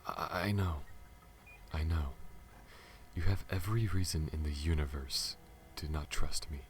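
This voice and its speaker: A man playing a guarded, protective alien smuggler pilot in an ASMR audio roleplay, earnest and halting, speaking to the listener. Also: a bass voice. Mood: reassuring, earnest. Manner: halting, stammers when flustered, earnest. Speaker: voice actor. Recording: audible background noise.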